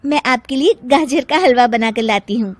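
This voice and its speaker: A woman's voice: a little high, lively intonation, normal speed, no echo. A woman voicing a young, quarrelsome and deceitful daughter-in-law in a cartoon story, with emphatic, dramatic delivery. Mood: sweet, dutiful. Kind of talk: Hindi cartoon story voice acting.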